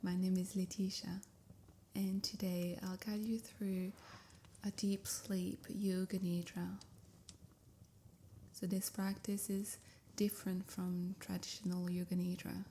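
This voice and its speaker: A woman softly guiding a sleep meditation in a breathy near-whisper, with soothing, measured phrasing. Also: fairly low pitch, level tone, slight background noise. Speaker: meditation guide. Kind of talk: guided sleep meditation, scripted.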